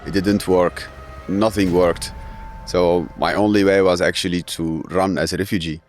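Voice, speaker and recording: Male guest, 30s, very low voice, halting earnest delivery, faint music bed. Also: fairly even intonation. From Syria. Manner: earnest, halting, with frequent fillers and restarts. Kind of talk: emotional interview.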